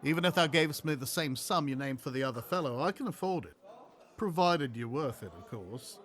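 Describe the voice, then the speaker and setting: Man acting a rough, shrewd old-time sailor. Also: mid-range voice, expressive, fairly bright tone, close to an everyday voice. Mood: boastful, suggestive. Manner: deliberate, weighty, archaic speech. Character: weathered, shrewd, boastful pirate sailor man, rough and flirtatious. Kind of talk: audio play acting.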